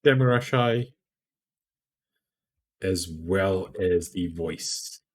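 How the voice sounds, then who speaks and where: A man with a deep, gruff voice giving casual advice on content creation in a rambling way, full of restarts. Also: extremely slow. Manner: rambling, many restarts and fillers. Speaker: podcast host.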